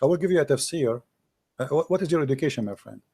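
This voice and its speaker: A man's voice: fairly high pitch, expressive, quick pace, dark tone, husky. A man hosting a call-in show, questioning a caller and reading out scripture passages. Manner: questioning, lectures while reading texts on screen. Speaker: host.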